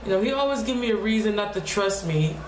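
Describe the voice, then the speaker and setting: A young woman speaking quietly in a low, deep voice, talking haltingly and restarting her words often in a casual personal vlog at home. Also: lively intonation. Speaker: vlogger. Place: home room. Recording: echoey room with faint noise.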